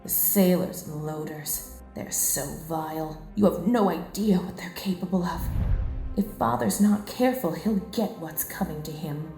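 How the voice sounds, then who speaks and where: A woman playing a brooding femme fatale in a 1940s radio noir parody. Her lowish, velvety dark voice echoes like a flashback, with music behind. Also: unhurried. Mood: dark, threatening. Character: brooding femme fatale plotting murder and escape. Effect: echoing memory flashback.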